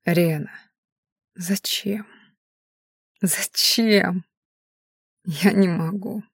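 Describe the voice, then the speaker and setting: A woman's voice: on the low side, fairly even intonation, creaky, breathy edge, no echo, studio quality, exaggerated voice. A woman voicing an anguished male werewolf in a dramatic audiobook, desperate and half-mad with guilt, with a strained, breaking voice. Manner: desperate, halting, repeating himself.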